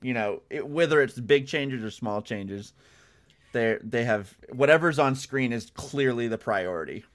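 A man's voice: mid-range voice, expressive, unhurried. A man in casual, agreeable fan chat as a podcast panelist.

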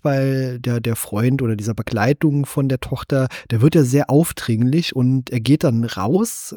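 A man's voice: mid-range voice, mellow, dry recording, clean studio sound. A man hosting a casual film discussion, searching a little for his phrasing. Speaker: podcast host.

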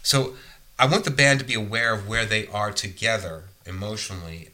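A man's voice: on the low side, steady tone, brisk, sharp tone. A man working as a music producer, talking casually about recording with bands, with many restarts and fillers. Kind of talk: casual conversation.

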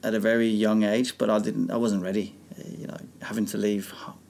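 A man's voice: fairly low pitch, brisk, vocal fry, slight background noise. A man from Denmark, a native Danish speaker with a blended English and slightly Australian accent, chatting casually and rambling as an interview guest. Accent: blended English with Australian tinge. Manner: relaxed, rambling with restarts. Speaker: speedway rider guest.

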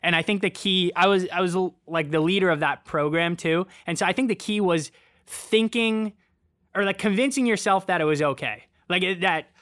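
A man's voice: sits high, normal speed, clear, resonant tone, no room sound, clean studio sound. A young man chatting casually and animatedly, joking at his own expense and filling his talk with "like". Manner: animated, self-mocking, lots of "like". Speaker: co-host, runner. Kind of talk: casual chat.

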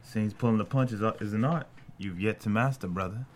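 Actor as guarded, low-voiced weary man. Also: moderate pace, close to an everyday voice. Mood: subdued, guarded. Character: guarded, world-weary man, friend and absent father. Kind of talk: acted table read of a drama screenplay.

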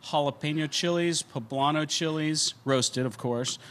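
A man's voice: fairly high pitch, measured pace, a bit bright. A man, a chef, answering casually and listing ingredients in a matter-of-fact way.

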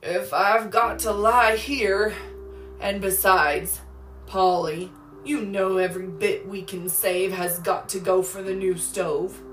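A woman's voice: mid-range voice, slow pace, hardly any pauses, roomy sound, far from the mic, soft background music, close to an everyday voice. A woman voicing a weary, kindly sick boy in a storybook, with a downcast, heavy delivery. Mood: despondent. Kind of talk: storybook character voice.

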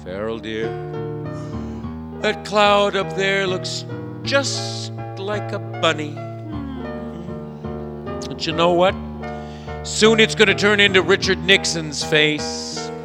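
An actor playing a bumbling, tender, apologetic wizard with a hesitant, stammering delivery in comic radio theatre. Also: male voice, high-pitched, animated, slow, pauses often. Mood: dreamy. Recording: hall reverb, music behind, live stage.

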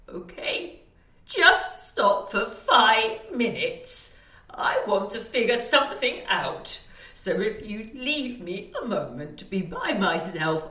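A confused grandmother in an audio play. She speaks slowly and haltingly, with pitch that swings from fretful pleading to lost murmurs. The sound is roomy and echoing. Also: sing-song, sharp tone, exaggerated voice. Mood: agitated, pleading. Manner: halting, searching for words. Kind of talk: audio drama acting.

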